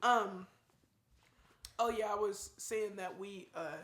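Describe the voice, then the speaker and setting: A woman's voice: medium pitch, takes it slowly, a bit bright, echoey room. A young woman and new mother chatting casually in a family vlog, talking in a rambling way. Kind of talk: casual chat.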